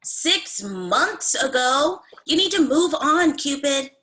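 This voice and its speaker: A woman's voice: sits high, very animated, slow pace, sharp tone, exaggerated voice, low-quality recording. A woman playing a blunt, exasperated thirty-year-old receptionist and ex-girlfriend in a comedy table read.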